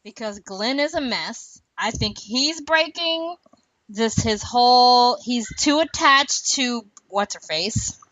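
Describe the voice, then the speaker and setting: A woman hosting a casual podcast chat, talking fast and laughing often between points. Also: fairly high pitch, no room sound. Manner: chatty, laughs often, animated asides. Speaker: podcast host. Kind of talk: casual chat about a TV show. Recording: dull lo-fi, dry.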